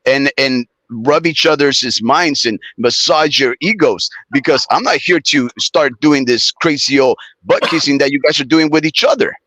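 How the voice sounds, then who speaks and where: A man's voice: moderate pace, hardly any pauses, a bit bright, dry recording, poor audio. A man on a casual boxing podcast, arguing indignantly with long, wordy sentences. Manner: indignant, wordy, pitch jumps up when protesting. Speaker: podcast co-host. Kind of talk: casual heated debate.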